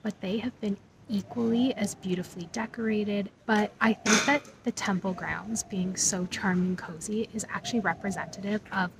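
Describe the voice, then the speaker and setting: A woman's voice: medium pitch, steady tone, moderate pace, creaky, a crowd in the background, poor audio. A woman in her thirties chatting reflectively as a travel vlogger, filmed on a handheld phone. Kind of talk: casual travel vlog. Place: outdoors at temple grounds. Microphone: phone camera at arm's length.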